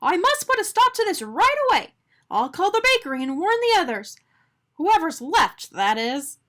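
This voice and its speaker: A woman voicing an excitable, dramatic little male doughnut in a children's storybook, full of exclamation. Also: extremely high pitch, moderate pace, voice pushed up, full character voice. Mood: determined.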